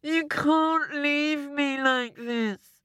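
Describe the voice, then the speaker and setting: A woman's voice: high-pitched, steady tone, slow, no room sound, studio quality, pitched above the performer's own voice. A woman voicing a blustering, panicking, self-pitying husband in a storybook, melodramatically roaring and wailing. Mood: demanding, desperate.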